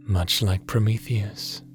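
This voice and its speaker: A man playing a gentle, fatherly creator god with a hidden weariness in a scripted audio roleplay, soft-spoken and deliberate, sometimes slipping into a whisper. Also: fairly low pitch. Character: gentle fatherly creator god, tender mentor, secretly haunted and weary.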